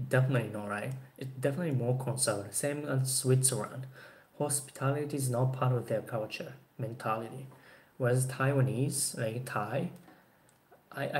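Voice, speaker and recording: A man's voice: mid-range voice, normal speed, darkish tone. A man in his twenties whose first language is Japanese, chatting casually on a live stream with lots of "you know" fillers, filmed by a webcam in a small room. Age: 20s. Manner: rambling, frequent "you know" fillers, chin in hand. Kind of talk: casual live chat answering viewers. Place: small room with dark backdrop cloth, indoors. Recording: roomy echo, faint background hiss.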